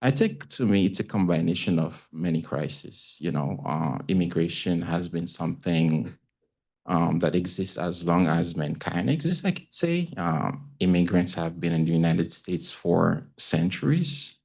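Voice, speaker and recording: A man with a Haitian accent speaking as a panelist about his own experience, haltingly and searching for words. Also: low-pitched, expressive, vocal fry, darkish tone. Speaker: panelist, community news correspondent. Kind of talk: panel talk, personal account.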